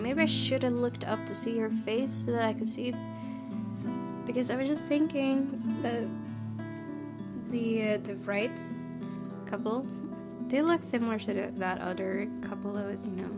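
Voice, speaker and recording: Musing young woman gamer over loud music. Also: fairly high pitch, normal speed. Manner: musing, tentative. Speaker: gaming video host. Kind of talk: casual let's play commentary with reading aloud. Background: game music.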